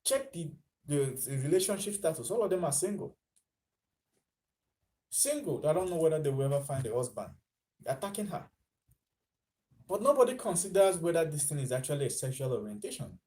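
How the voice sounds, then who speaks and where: Chatty Nigerian man with a mellow voice. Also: a little high. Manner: chatty, mixes in Pidgin, laughs at his own lines. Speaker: vlogger. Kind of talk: casual commentary vlog.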